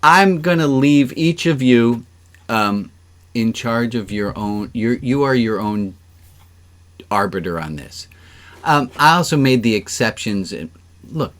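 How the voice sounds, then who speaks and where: A man's voice: medium pitch, animated, unhurried. A man speaking as a rabbi leading an informal online service, conversational and joking, encouraging people to take part. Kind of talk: informal interactive sermon, leading exercises.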